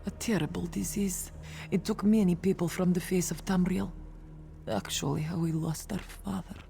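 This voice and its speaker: A woman's voice: lowish voice, steady tone, normal speed, gravelly, mellow, faint music behind. An actress playing a proud, grieving young cat-folk warrior woman in a video game, with earnest dramatic delivery. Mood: wistful, grieving. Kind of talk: video game character dialogue.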